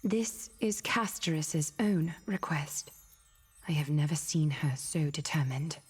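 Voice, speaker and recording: Solemn aristocratic woman, game character, low register, measured, stern, music behind. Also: expressive, a little mellow, husky, heavily acted. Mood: grave. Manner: formal, measured, commanding. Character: noble, solemn demigod dressmaster woman, stern and composed. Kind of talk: video game character acting.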